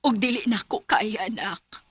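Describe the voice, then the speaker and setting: Husky, weary older mother. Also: medium pitch, unhurried, fairly bright tone. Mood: weary, resigned. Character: weary, ailing older mother who peddles food. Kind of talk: Filipino radio drama acting.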